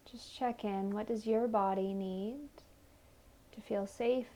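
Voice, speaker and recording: A woman leading a guided meditation in a soothing, gentle manner, offering unhurried invitations. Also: medium pitch, fairly even intonation. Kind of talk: guided meditation, body scan narration.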